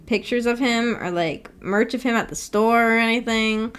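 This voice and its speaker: A woman's voice: a little high, normal speed, smooth. A woman hosting a livestream, chatting casually and reading out viewer comments in a giggly, chatty way. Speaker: streamer and host.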